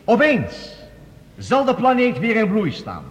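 An actor playing a confident, visionary scientist, giving a formal, eloquent declamatory address in a radio drama. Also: male voice, high-pitched, wildly swooping pitch, slow, lo-fi sound, full character voice. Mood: rousing, fervent. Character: visionary, confident male scientist presenting a bold Mars plan. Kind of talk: Dutch radio drama acting, formal speech. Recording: long reverberant hall.

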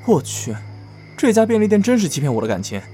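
A man's voice: high voice, big pitch swings, quick pace, over music. A man playing a caring, chatty young male friend in an audio drama, easily exasperated. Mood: playful exasperation. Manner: chatty, mildly grumbling.

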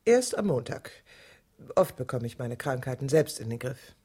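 An actress playing a bitter, jealous middle-aged woman with secret murderous spite in a radio drama, speaking in a low, gravelly, often whispery voice with clipped, venomous control. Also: big pitch swings, moderate pace, warm tone, no room sound, heavily acted. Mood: guarded, controlled. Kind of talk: intimate radio drama monologue and dialogue.

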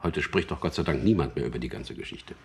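A man's voice: rumbling low, big pitch swings, fast talker, creaky, darkish tone, a little hiss or hum, exaggerated voice. An actor playing a guarded, bitter middle-aged man in a radio drama, brooding and reticent in his delivery.

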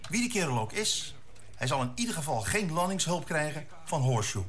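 A man's voice: on the high side, very animated, brisk, a bit bright. Male control-tower chief, radio play: officious, authoritative, very varied intonation. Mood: puzzled.

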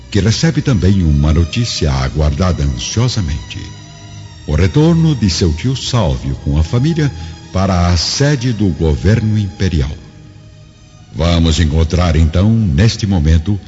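A man's voice: low voice, dark tone. A man with a Brazilian accent giving solemn, theatrical scripted narration for a radio drama. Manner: solemn, theatrical, measured enunciation. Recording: dull lo-fi recording, music behind.

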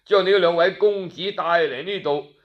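A man's voice: high-pitched, normal speed, highs cut off, natural voice. A man voicing a sly, scheming chief minister with a Cantonese accent, sighing and soothing as he feigns reluctant concern in an audio storybook. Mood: falsely sympathetic.

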